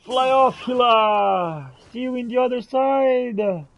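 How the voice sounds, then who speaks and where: A man's voice: very high-pitched, takes it slowly, gravelly, fairly bright tone, dull audio. A man playing a fantasy role-playing game, talking in character to his companions as he comments. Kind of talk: improvised in-character gameplay commentary.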